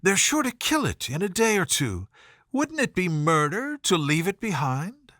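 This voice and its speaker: A prim little girl from a children's storybook, played by a male narrator. She is earnest and polite, with a high, lively voice that sounds bright and a little anxious. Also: unhurried, dry recording, clean studio sound, full character voice. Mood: concerned, prim. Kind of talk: storybook character voice.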